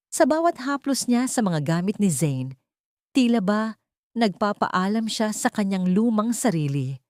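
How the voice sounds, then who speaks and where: A woman with a Filipino accent reads a dramatic audiobook story. Her voice sits on the low side and is recorded close and dry. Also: darkish tone, no room sound. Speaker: audiobook narrator. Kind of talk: dramatic scripted story narration.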